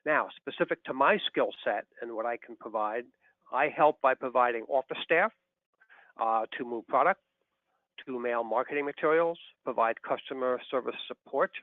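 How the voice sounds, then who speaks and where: A man in his sixties giving a prepared, measured, matter-of-fact talk over a telephone conference line. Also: medium pitch, no echo, narrow, tinny audio. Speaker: doctor and business partner speaking on a conference call.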